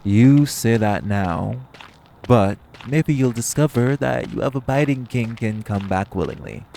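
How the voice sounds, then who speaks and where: A man's voice: lowish voice, creaky, warm tone, a little hiss or hum. A man playing a cocky, teasing vampire in an audio roleplay, bantering with a hunter in a smug, sardonic, flirty way and swearing freely. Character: cocky, teasing, hungry male vampire carrying his hunter rival. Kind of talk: audio roleplay acting, addressed to the listener.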